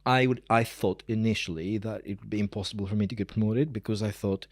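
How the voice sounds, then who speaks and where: Guy in his 40s, low voice, academic chatting casually in an interview, wanders a bit, dry sound. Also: fairly even intonation, fast, no echo. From Greece. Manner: informal, digressive, emphatic repetition. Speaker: university professor, interview guest.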